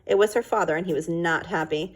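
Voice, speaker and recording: A woman reading a children's book aloud in an expressive storytelling style. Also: on the low side, fast talker. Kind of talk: expressive read-aloud narration.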